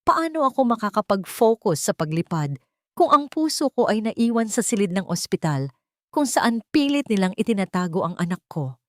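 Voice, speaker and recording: A woman voicing an anguished mother, a fallen pilot, with a hoarse voice in an audiobook drama. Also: mid-range voice, very animated, normal speed, no room sound, clean studio sound, pitched above the performer's own voice, natural voice. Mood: anguished, fearful.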